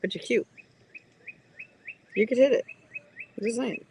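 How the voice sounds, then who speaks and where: A woman streamer talks over a film she is watching, firing off campy, teasing one-liners. Her voice sits high. A faint hiss runs under her. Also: unhurried, mellow. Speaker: livestream host reacting to a film. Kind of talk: sassy running commentary.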